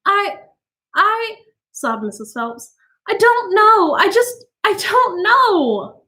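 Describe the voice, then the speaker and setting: Woman reader doing a high, tearful, fragile lady gulping out words; audiobook figure. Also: big pitch swings, slow pace, sharp tone, full character voice. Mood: sobbing. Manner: breathless, sobbing. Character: fragile lady guest, easily moved to tears.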